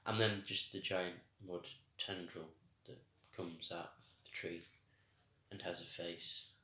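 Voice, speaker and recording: A man co-hosting a casual review podcast, enthusiastic and quick to laugh. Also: deep voice, steady tone, bright, forceful tone. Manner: enthusiastic, laughs often, acts out reactions. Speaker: podcast co-host. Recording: echoey room.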